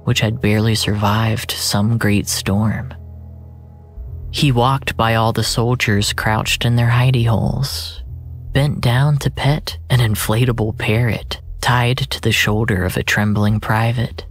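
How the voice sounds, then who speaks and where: A man narrating a scripted story in a measured, solemn, literary way, often breathy and dropping toward a whisper. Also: on the low side, fairly even intonation. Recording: narration over a music bed. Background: music.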